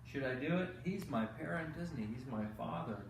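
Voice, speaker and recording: A man with a slightly high, swooping voice hosts Bible bedtime stories for children. He teaches gently, repeating phrases and asking exaggerated questions. The recording is echoey and a bit distant from the mic, with faint noise. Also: lively intonation, brisk, gravelly.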